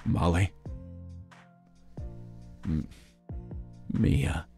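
A man acting a hot-tempered, guilt-ridden gangster brother in an audio drama, speaking haltingly and repeating words. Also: deep voice, vocal fry, mellow, soft background music, exaggerated voice. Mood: remorseful.

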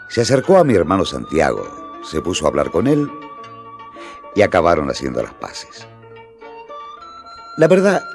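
An actor playing a reminiscing disciple, narrating a radio drama. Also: male voice, low voice, heavily acted.

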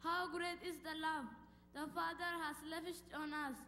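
Girl from Ethiopia reciting flatly, echoey. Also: very high voice, moderate pace, faint music behind. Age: child. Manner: flat, memorized delivery. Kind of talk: reciting a prepared testimony. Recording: echoey church hall with faint hum.

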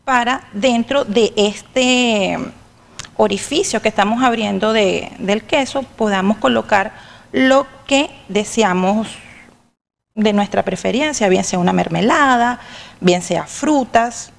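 A middle-aged woman, a catering guest who explains in halting bits as she assembles food on a TV studio set. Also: mid-range voice, vocal fry. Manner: halting, restarts while working with hands. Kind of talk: demonstrating while explaining. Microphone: lapel mic.

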